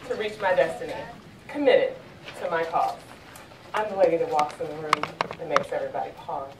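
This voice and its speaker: A woman, a loud, sassy and playful motivational speaker who recites a rhyming intro and gets the room moving. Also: deep voice, fairly quick, noisy background. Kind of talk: spoken-word intro and live crowd motivation. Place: conference hall, indoors. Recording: echoey hall, distant, over loud music and a crowd.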